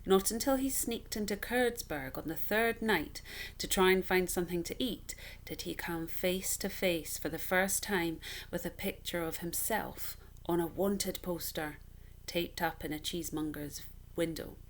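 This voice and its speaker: Low-pitched woman reading a children's story. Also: a little slow. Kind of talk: expressive storybook narration.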